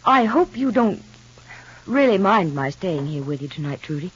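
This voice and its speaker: An actress in an old radio soap opera playing a frank, insistent, unhappily married woman, speaking earnestly and deliberately. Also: fairly low pitch, sing-song, husky. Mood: hesitant, hurt. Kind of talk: 1940s-50s radio soap opera acting. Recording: muffled old radio recording.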